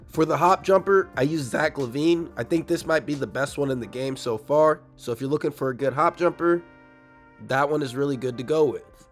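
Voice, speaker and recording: Slightly raspy young man, casual gamer. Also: a little high. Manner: fast, casual, addresses viewers directly. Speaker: gaming YouTuber. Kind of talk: casual game build breakdown. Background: faint background music.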